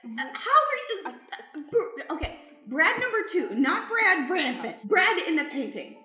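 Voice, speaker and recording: A woman's voice: very high voice, big pitch swings, measured pace, pushed and bright. A woman improvising comic dialogue in a radio play. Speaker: improv performer. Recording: roomy echo with music behind.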